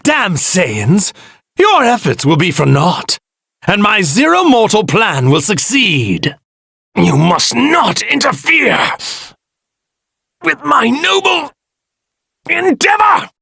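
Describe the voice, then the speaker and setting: Arrogant villain god, anime game style, grandiose, slow deliberate delivery, gravelly creak, menacing. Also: male voice, high-pitched, big pitch swings, bright, forceful tone, no echo, clean studio sound, full character voice. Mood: enraged. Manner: pompous, theatrical, grandiose, menacing. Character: arrogant evil god in a stolen warrior body. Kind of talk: anime video game voice lines.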